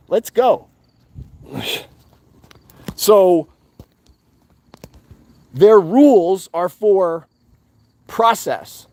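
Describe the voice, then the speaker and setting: A man giving a defiant, profane monologue to his viewers, loud and emphatic, repeating his points. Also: high voice, no echo. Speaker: activist channel host.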